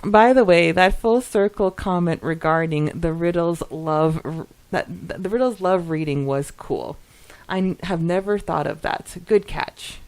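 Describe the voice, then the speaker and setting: A woman's voice: lowish voice, measured pace, a little mellow. A woman co-hosting a podcast, chatting casually and reading out comments in an informal, giggly way. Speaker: podcast co-host.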